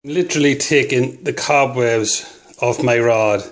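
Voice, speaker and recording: A man's voice: medium pitch, measured pace. A man casually explaining fishing tips at home while filming his hands on a phone, rambling and chuckling at his own jokes. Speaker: fishing video host. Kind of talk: casual how-to talk to camera.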